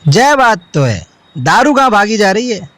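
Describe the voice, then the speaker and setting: A man voicing a joking young buddy, high voice. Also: sing-song, a little slow, dry recording, heavily acted. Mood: teasing. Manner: joking, easygoing. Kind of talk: Hindi audio drama acting. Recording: soft music bed underneath.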